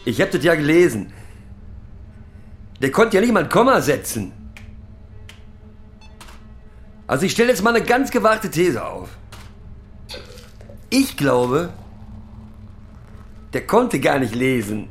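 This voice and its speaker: An actor playing a foul-mouthed, boozy German pub regular who rants crudely and haltingly, sentimental underneath, in a radio drama. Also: male voice, a little high, very animated, soft background music. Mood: scornful. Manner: crude ranting, halting and rambling, swearing. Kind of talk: theatrical radio drama monologue.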